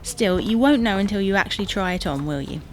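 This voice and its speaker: A woman's voice: medium pitch, lively intonation, faint music behind, natural voice. Bossy, exasperated wife, played by a woman. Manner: brisk, dismissive, commanding. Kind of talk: domestic comedy audio drama acting.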